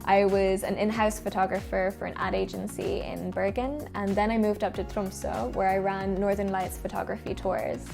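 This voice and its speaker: Irish woman around 30 doing vlog narration, bit of vocal fry, music under it. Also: mid-range voice, level tone, normal speed, few pauses. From Ireland. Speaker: freelance photographer presenting a vlog.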